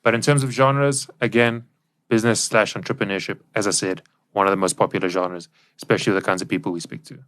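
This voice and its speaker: A man's voice: fairly low pitch, steady tone, fast, fairly bright tone. A man hosting a podcast and discussing things analytically. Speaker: podcast host.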